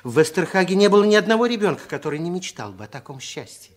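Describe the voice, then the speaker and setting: A man narrating a children's radio play in an expressive, deliberate 1960s storytelling style. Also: high voice.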